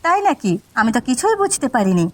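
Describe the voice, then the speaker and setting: Very high-pitched anxious village wife acted by a woman. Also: very animated, fast talker. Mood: worried. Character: worried, supportive village wife in a folk cartoon. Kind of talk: Bengali cartoon dialogue acting.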